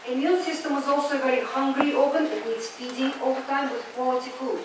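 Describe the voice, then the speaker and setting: High-voiced woman lecturing in short measured bits, far from the mic, big echoey hall, audience noise behind. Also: steady tone. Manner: measured, pauses after each sentence. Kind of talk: lecture delivered in short phrases for an interpreter. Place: lecture hall at a business school.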